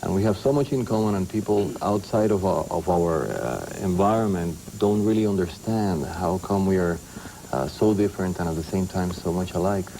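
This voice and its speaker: A man hosting an interview, asking friendly questions in a halting way with many fillers. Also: low voice, mellow, a little hiss or hum, low-quality recording. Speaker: host.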